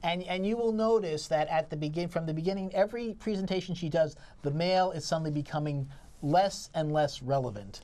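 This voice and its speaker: A woman co-hosting a casual theatre review, talking in a halting way and circling back to her points. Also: low voice, dark tone. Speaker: theatre critic, co-host.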